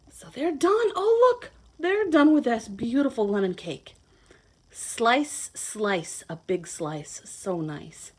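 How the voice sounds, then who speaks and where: A woman reading a children's picture book aloud in a playful, expressive, sing-song way, adding simple asides to her young listeners. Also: on the high side, slow pace. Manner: simple child-directed explaining. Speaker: children's read-aloud host, teacher.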